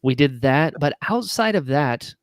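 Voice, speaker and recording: A man's voice: mid-range voice, lively intonation, unhurried, no echo, studio quality. A man chatting casually and enthusiastically as a podcast guest, telling production stories and acting out quoted remarks. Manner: enthusiastic, acts out quotes mid-story. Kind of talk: casual animated interview chat.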